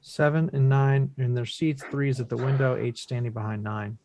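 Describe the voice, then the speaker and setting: A man's voice: mid-range voice, steady tone, moderate pace, dark tone, a little hiss or hum. A man reading stage directions as scripted narration for a drama table read.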